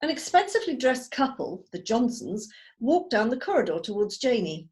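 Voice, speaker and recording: A woman's voice: on the high side, sing-song, normal speed, darkish tone. Woman reading stage directions. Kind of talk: scripted narration. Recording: video call table read.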